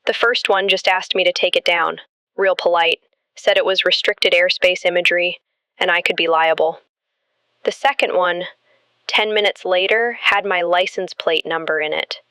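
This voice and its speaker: A woman plays a shaken camper calling a late-night radio drama show. Her voice is bright, tense and clear in a dry recording. She talks in short, halting, anxious phrases. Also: lowish voice, steady tone, dry recording. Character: shaken young female camper with troubling news.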